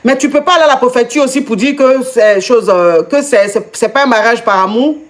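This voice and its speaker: This is a woman with an Ivorian French accent who hosts a call-in advice show. Her voice sits somewhat high. She questions the caller over and over, stammering repeats as she struggles to believe the story. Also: a little fast. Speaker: host and coach. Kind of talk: live call-in advice chat.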